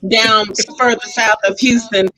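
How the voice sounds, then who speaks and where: A woman from Texas, a stage actress guest, answering conversationally in a droll, theatrical and deliberate manner. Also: fairly high pitch, fairly even intonation, bright, forceful tone, rough recording. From Texas, United States.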